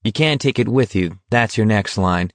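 A man's voice: on the low side, normal speed, no echo, close to an everyday voice. A man voicing a sardonic, scornful young man with a mocking, needling wit in an audiobook. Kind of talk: audiobook character dialogue.

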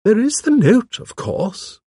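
A gentle old spinster, played by a male narrator, musing primly in a raised, slightly husky voice. She is shrewd and digressive, a gossipy village lady from an audiobook mystery. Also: sits high, sing-song, slow pace, dry recording, studio quality, pitched above the performer's own voice, heavily acted. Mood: politely puzzled. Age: older. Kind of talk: audiobook character dialogue.

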